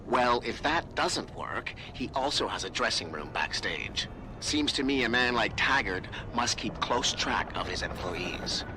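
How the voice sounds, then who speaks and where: An actor playing a sceptical, matter-of-fact tech hacker colleague in a video game. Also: male voice, medium pitch, expressive, a little slow. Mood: brisk, sceptical. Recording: noisy battle-like ambience behind.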